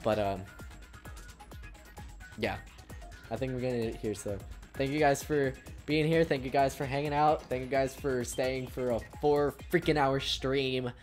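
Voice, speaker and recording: A woman streamer with a very deep voice, worn tired from a long session, rambling casually through her goodbyes. Faint game music sits behind her. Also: unhurried, pauses often, darkish tone. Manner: rambling, chatty, with fillers. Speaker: streamer playing a story game. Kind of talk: casual stream sign-off chat.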